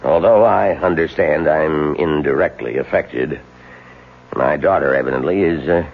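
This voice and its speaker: An actor playing an authoritative professional man in a 1970s radio drama, speaking in a measured, deliberate way. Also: rumbling low, husky, exaggerated voice. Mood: firm, persuasive. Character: authoritative older professional man, boss or family doctor. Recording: dull, faintly hissy 1970s radio broadcast.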